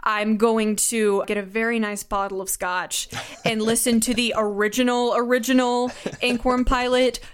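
A young woman in her late teens with a fairly high voice, speaking as a guest in a casual interview. She is chatty and candid, with a self-deprecating streak. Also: flat delivery, moderate pace, few pauses, smooth. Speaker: guest, audio drama writer and sound designer.

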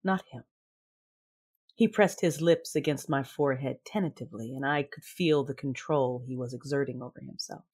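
An actress playing a proud, passionate but guarded woman warrior, narrating an audio drama in the first person in a breathy, intimate, measured way. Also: rumbling low, darkish tone. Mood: sensual, tender. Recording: music under the voice. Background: music.

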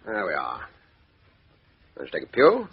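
A smooth former army major with a shady past who brushes off questions in a casual, evasive way. His voice is low, raspy and husky. It plays from a dull, muffled old radio broadcast. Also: wildly swooping pitch, a little slow, full character voice. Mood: offhand. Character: smooth, offhand male ex-army con man. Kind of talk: 1950s radio drama acting.

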